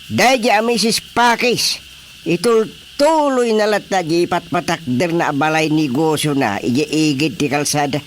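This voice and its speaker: A man playing an excitable, exclamatory village chairman with an Ilocano accent in a radio comedy drama. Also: a little high, unhurried, a little hiss or hum, lightly acted. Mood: chatty, amused.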